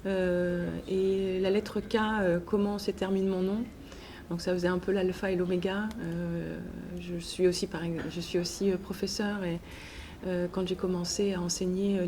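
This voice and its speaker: A woman answering interview questions in a hesitant, halting way with frequent pauses. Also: on the low side, warm tone. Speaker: interviewed author and teacher. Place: book festival. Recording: noisy festival ambience. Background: clear ambient noise.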